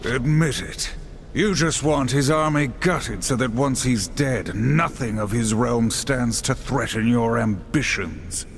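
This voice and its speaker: An actor playing a stern, brooding warrior horseman in a video game, speaking in a grave, measured, terse way. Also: medium pitch, slight background noise. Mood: stern, suspicious. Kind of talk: video game cutscene acting.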